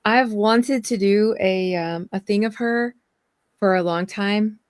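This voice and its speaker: A woman's voice: medium pitch, slow, clean tone, no echo, studio quality. A woman with a nasal, stuffy allergy voice, teasing and laughing in casual livestream chat.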